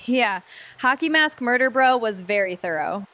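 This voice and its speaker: A woman playing a plucky amateur sleuth in an audio drama, with halting, wry banter and forced optimism. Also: fairly high pitch, expressive, sharp tone. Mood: nervous banter. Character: plucky female amateur sleuth, jokey and reassuring in danger.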